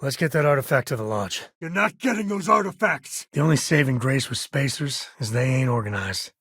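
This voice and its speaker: An easygoing spacefaring cowboy for a video game. His middle-pitched voice is heavily husky, with folksy turns of phrase and dry sarcasm. He comes across as a wry, devoted father, recorded dry without room sound. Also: expressive, fairly quick, a bit bright, dry recording, heavily acted. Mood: urgent, tense. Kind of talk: video game character dialogue.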